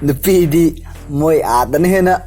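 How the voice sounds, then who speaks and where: A man plays a nosy, sardonic young villager in a radio play. His tone is mellow and dark, his questions very quick, with music underneath. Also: fairly high pitch, lightly acted. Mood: mocking. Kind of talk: audio drama acting.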